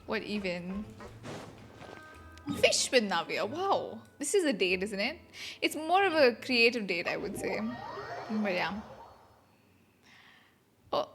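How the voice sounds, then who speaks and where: A woman's voice: mid-range voice, very animated, slow, vocal fry, pauses often, a bit bright. A woman streamer giving casual, wry reaction commentary while playing a game. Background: game music.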